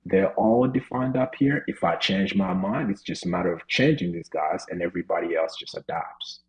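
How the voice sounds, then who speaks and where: A man's voice: low voice, moderate pace, rough recording. A man teaching a live online coding class over a video call, talking casually with lots of "right?" and "you know". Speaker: coding instructor.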